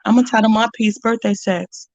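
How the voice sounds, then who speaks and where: A woman's voice: on the high side, steady tone, normal speed, dry recording. A middle-aged woman performing spoken word poetry with a bold, sassy, rhythmic delivery. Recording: dull, dry, lo-fi sound.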